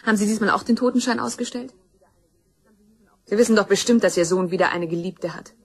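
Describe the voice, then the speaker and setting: A woman's voice: medium pitch, brisk, slightly rough. An actress playing a determined young woman in a crime radio play, accusing and insistent. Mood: determined, demanding. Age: young adult. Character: grim, determined young woman seeking justice for her murdered mother.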